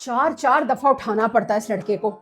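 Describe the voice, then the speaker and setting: A woman's voice: fairly high pitch, brisk, fairly bright tone, soft background music, natural voice. A woman playing a doting but exasperated mother in a comic family skit, motherly and chiding.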